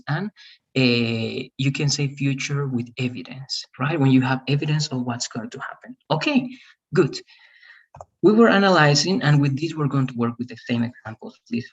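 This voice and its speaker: Guy teaching english online, husky, keeps asking all right?. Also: medium pitch, dull audio. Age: 30s. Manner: patient, explains step by step, many "all right?" checks. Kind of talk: online grammar lesson.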